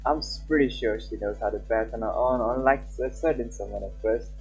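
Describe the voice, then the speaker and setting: A man reading a visual novel aloud on a live stream, his pitch in a middle range. He talks casually and stops now and then for side comments and restarts. Faint game music plays behind him. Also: low-quality recording. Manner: casual, halting, with asides and restarts. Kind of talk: live gameplay narration with comments.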